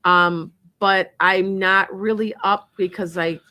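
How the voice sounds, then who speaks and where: A woman's voice: mid-range voice, fairly even intonation, takes it slowly, bright, forceful tone. A woman with a Wisconsin accent hosting a psychic radio show, chatting in a rambling way and laughing often. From Wisconsin, USA. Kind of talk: casual chat and psychic readings.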